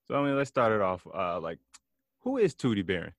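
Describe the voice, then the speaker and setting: A man interviewing his guest on a casual podcast. He asks short, relaxed questions. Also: fairly low pitch, brisk, no room sound.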